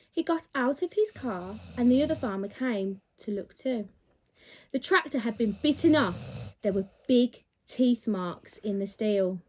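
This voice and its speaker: A woman reading a children's storybook aloud in an animated, dramatic, teacherly way. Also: high voice. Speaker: primary school teacher.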